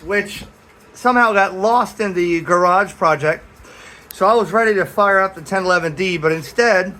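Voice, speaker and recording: A man's voice: high-pitched, fairly bright tone. A man in a backyard talking casually like a vlogger and CB radio operator, folksy and friendly in radio jargon. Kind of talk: casual vlog narration and radio calls. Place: outdoors in a backyard.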